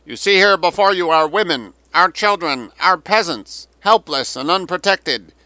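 A loud, high, booming Chinese propagandist played by a male audiobook reader, declaiming to the masses. He pleads theatrically, pushing every phrase far above an everyday speaking pitch in a bright, forceful tone. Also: lively intonation, normal speed, dry recording. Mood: pleading, declamatory. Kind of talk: dramatic audiobook character reading.

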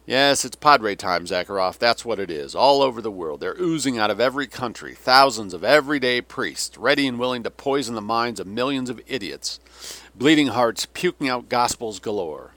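A man's voice: medium pitch, lively intonation, normal speed, sharp tone, no room sound. A man reading an audiobook as a weary, vulgar old Russian general, sardonic and theatrical, given to sneering rants. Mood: bitter, sneering. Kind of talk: dramatic audiobook character reading.

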